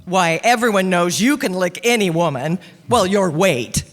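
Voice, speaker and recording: A woman's voice: on the low side, lively intonation, normal speed. Woman playing doting detective's secretary, breathless and fussing, swooping delivery, vintage radio style, live in a reverberant hall. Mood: curious, teasing. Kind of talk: 1940s radio detective acting. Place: stage of a large hall. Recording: echoey stage hall, live performance.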